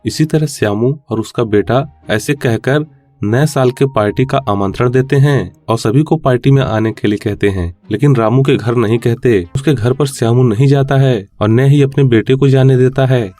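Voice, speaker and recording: A man's voice: mid-range voice, moderate pace, mellow, soft background music. A man narrating a scripted moral story for children.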